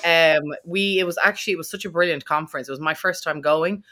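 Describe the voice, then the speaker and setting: A woman's voice: deep voice, quick pace, sharp tone. A woman hosting a podcast interview, chatty and self-mocking, with many fillers and restarts. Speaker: podcast host. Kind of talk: casual interview chat.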